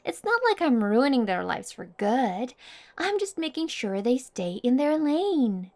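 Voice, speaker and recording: Woman playing a high-pitched, smug mean popular girl. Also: big pitch swings, darkish tone, exaggerated voice. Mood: boastful. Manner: taunting, boastful, condescending, drawn-out teasing. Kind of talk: intimate ASMR roleplay monologue addressed to listener.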